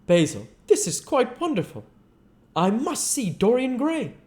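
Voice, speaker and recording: A man voicing a witty, cynical gentleman aesthete in a storybook reading, pompous and teasing. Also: very high-pitched, sing-song, measured pace, pitched above the performer's own voice, full character voice. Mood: intrigued.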